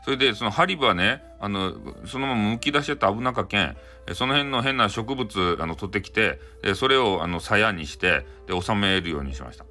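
A man's voice: lowish voice, expressive, fairly quick, few pauses, sharp tone. A man with a Hakata accent casually improvising a comic folktale as a chatty, playful storyteller.

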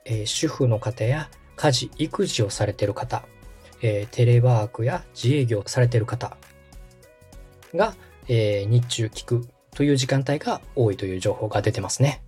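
A man's voice: mid-range voice, moderate pace, darkish tone, faint music behind. A man in his thirties from Osaka, hosting a podcast and politely explaining how podcasting works, with frequent fillers. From Osaka, Japan. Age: 30s. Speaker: podcast host and radio director. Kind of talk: explaining casual chat.

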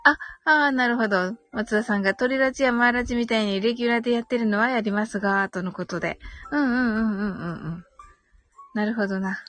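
A woman's voice: a little high, quick pace, fairly bright tone. A woman hosting a casual live audio chat, reading listener comments aloud and responding with polite, agreeable backchannels. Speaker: live audio stream host. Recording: background music behind voice. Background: music.

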